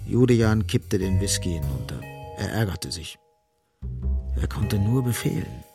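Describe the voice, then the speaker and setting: A man's voice: deep voice, expressive, measured pace, vocal fry, music in the background. A man narrating a scripted literary radio drama as storyteller.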